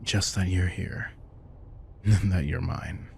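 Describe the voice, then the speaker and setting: A man playing a protective, wry and tender vampire king in an intimate audio roleplay, soft-spoken and casual. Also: sits low, steady tone, slow, creaky, a little hiss or hum, exaggerated voice. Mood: reassuring, tender. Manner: soft-spoken, casual, reassuring, occasionally swearing. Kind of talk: intimate audio roleplay, one-sided dialogue.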